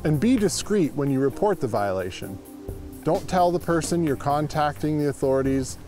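American man, lively narration over music. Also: fairly high pitch, normal speed. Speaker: conservation officer, presenter. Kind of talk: scripted instructional narration.

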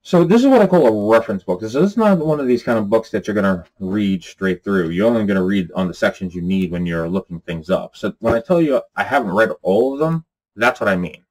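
Brief: low-voiced man in his forties, earnest rambling vlog host, seated in a small home room. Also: animated, a little fast, darkish tone, low-quality recording. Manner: rambling, many fillers, earnest. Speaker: channel host. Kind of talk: casual talk to camera. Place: small room with bookshelves and dark curtain.

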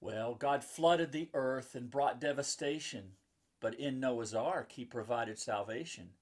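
A man's voice: medium pitch, a little slow. A man in his fifties, a pastor, reading a children's story and explaining it simply in chatty asides. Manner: simple explaining to young listeners. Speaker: pastor and children's book author. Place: indoor book-lined room at home or office.

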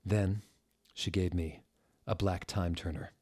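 Slow, low-voiced man reading theatrically. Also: steady tone, warm tone, breathy edge. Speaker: reader, show host. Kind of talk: dramatic fan fiction reading. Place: small furnished room indoors. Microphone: desk microphone, close.

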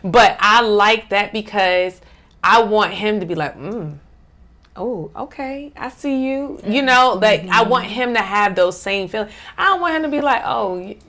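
A middle-aged woman hosts a casual studio panel chat in a medium-pitched voice. She talks emphatically and with animation and keeps affirming her points. Her voice is picked up clean by a lapel mic in a small room. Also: a little slow. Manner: emphatic, animated, repeats "absolutely". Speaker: talk show host. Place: small bright studio set.

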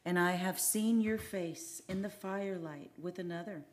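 An actress playing a mysterious, imperious sea woman who longs for love, speaking formally and deliberately in an old-time radio drama. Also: lowish voice, natural voice. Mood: yearning, grave.

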